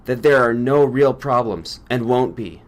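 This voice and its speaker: A man puts on an impatient research partner for a storybook reading. The delivery is brusque and insistent, with forceful, snapping phrases. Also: mid-range voice, animated, measured pace, close to an everyday voice. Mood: snappish.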